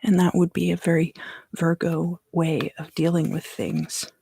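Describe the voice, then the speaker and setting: Female ASMR card reader, hushed and unhurried, low-pitched with creaky, breathy texture, frequent pauses. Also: little pitch movement, no room sound. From US East Coast South. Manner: soft, unhurried, pausing often. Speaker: tarot reader and ASMR creator. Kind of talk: whispered ASMR card reading.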